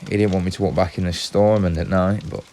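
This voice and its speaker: An actor playing a frightened, exhausted male traveller in an audio drama, speaking in a halting, apologetic stammer. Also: low-pitched, fairly even intonation, a little mellow, slight background noise. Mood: uneasy, weary. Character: frightened exhausted male traveller seeking shelter, polite and shaken.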